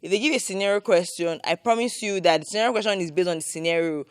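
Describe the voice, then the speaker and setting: A woman giving casual, conversational advice as a co-host, informal and animated. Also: fairly low pitch, quick pace, clear, resonant tone, dry recording, studio quality.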